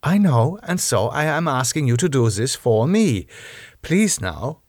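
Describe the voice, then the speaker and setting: A man's voice: medium pitch, very animated, measured pace, darkish tone, no echo, clean studio sound, pitched above the performer's own voice. A man voicing a commanding female vampire, soothing, stern and formal in an audiobook story. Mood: soothing, firm. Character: commanding foreign female vampire, calmly maternal yet menacing.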